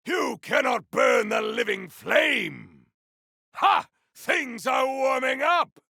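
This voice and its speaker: A man voicing a righteous, grandiose game warrior on a holy quest, with a gravelly, forceful voice and archaic, theatrical declamation. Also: very high-pitched, big pitch swings, slow pace, dry recording, studio quality, exaggerated voice. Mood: battle fury. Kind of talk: video game combat voice lines.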